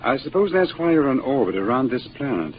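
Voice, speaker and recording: Actor as courteous passenger, tinny old radio. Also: male voice, medium pitch, sing-song, fairly quick, lightly acted. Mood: curious. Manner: courteous, questioning. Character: polite, curious male spaceship passenger. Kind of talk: 1950s radio drama acting. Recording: tinny, noisy 1950s radio broadcast.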